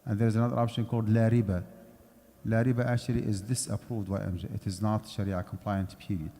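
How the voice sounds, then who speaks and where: A man explains a topic to a mosque congregation in a relaxed, conversational way, often pausing to check that his listeners follow. His voice sits low, with a dark, mellow tone. It rings out with a long reverb through a large prayer hall. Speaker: Islamic finance lecturer. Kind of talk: mosque lecture with Q&A.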